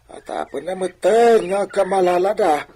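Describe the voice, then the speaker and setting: A man's voice: high-pitched, moderate pace, mellow, husky. A male actor playing a reluctant, grumbling older father in a radio drama, with a hesitant manner.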